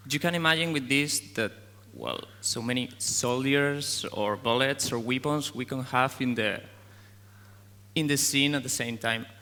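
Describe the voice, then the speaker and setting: A man presents a game demo in careful, phrasing. His voice is bright and forceful, with long hall reverb around it. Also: mid-range voice. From Spain. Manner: non-native phrasing, halting. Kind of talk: conference tech presentation.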